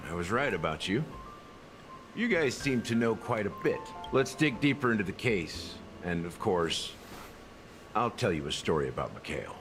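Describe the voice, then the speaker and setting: An actor playing a wry, middle-aged male investigator in a video game, telling things in a measured way. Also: fairly low pitch, very animated. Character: older male family investigator and drink mixer, wry, unhurried. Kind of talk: video game story voice acting. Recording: game music softly behind.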